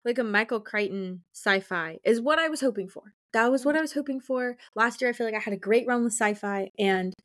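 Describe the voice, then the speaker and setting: A woman's voice: on the high side, fairly quick, hardly any pauses, fairly bright tone. A woman in casual book discussion as a co-host, talking informally with exclamations and plenty of "like".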